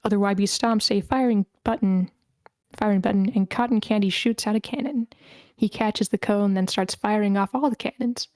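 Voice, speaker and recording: A woman's voice: medium pitch, fairly even intonation, moderate pace, a little mellow, dry recording. Woman narrating a screenplay, dry close sound. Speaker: narrator reading stage directions.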